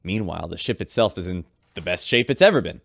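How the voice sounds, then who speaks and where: A polished male radio host for a sci-fi audio adventure. His voice is fairly low and recorded close and dry, delivered like news presenting. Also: expressive, fast, gravelly, no echo, heavily acted. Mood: grave reporting.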